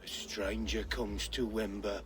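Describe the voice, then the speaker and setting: An actor playing a simple-minded, childlike, mournful gravedigger in a game, halting and repeating his words. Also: lowish voice, flat delivery, faint music behind, close to an everyday voice. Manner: halting, repetitive, speaks of himself in third person. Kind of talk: video game dramatic acting.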